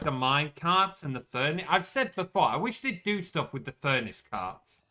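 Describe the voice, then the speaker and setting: A man's voice: on the high side, pushed and bright. A young man chatting casually while he streams a game, self-mocking and swearing when things go wrong. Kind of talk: casual gameplay commentary.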